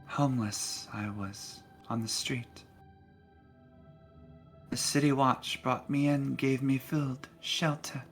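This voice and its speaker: A man's voice: mid-range voice, soft background music. A man playing the ghost of a homeless elderly woman, telling things wistfully and wearily in a tabletop roleplaying game. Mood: sorrowful. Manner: slow, addresses listener as dearie.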